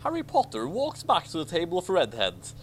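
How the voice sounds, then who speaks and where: Lively, higher-voiced man reading a story. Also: brisk, a little hiss or hum. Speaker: reader of a comic fan fiction commentary. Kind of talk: dramatic reading with wry asides.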